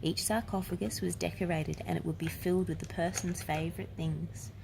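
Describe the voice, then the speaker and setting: A woman's voice: fairly low pitch, steady tone, fairly quick, gravelly, noisy background. A woman voicing a patient, earnest schoolboy, an older brother who explains things in a storybook. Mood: gentle, serious.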